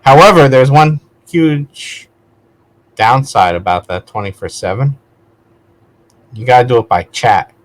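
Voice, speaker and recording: A man's voice: medium pitch, a little slow, long pauses, low-quality recording. A man talking casually as a vlog host, digressing and correcting himself as he goes in a conversational way. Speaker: video host, vlogger. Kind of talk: casual rambling spoken vlog.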